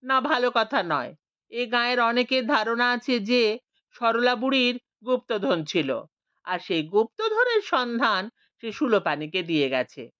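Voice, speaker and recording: A woman's voice: on the high side, very animated, a little slow, fairly bright tone, smooth, no room sound. A woman voicing a stern, suspicious former rebel man who questions people bluntly. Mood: stern, probing. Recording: dull lo-fi.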